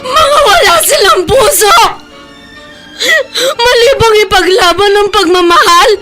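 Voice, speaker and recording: Tearful, very high-voiced teenage girl. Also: measured pace, bright, forceful tone, faint music behind, exaggerated voice. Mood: anguished crying. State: crying. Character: tearful lovestruck stubborn teenage schoolgirl. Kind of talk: Tagalog radio drama acting.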